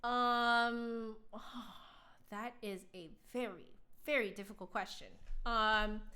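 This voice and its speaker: A young adult woman talks casually to her live-stream viewers from home. She rambles along with plenty of fillers and restarts, and her voice sits at a middle pitch. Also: pushed and bright. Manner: chatty, rambling with many fillers. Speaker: flutist streamer.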